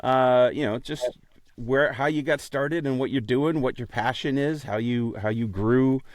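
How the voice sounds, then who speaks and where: A man's voice: medium pitch, a little slow, dry recording. A man co-hosting a podcast in casual chat, rambling and joking, often repeating himself as he goes. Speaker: podcast co-host.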